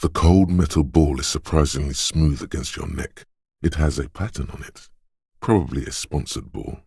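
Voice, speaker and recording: An extremely low, rasping male voice serves as the solemn inner voice of a fighter's instinct in a video game. The delivery is measured and deliberate, with dramatic weight on each phrase. The recording is dry and close. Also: darkish tone, dry recording, exaggerated voice. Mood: grave, urgent. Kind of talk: scripted game narration, inner voice.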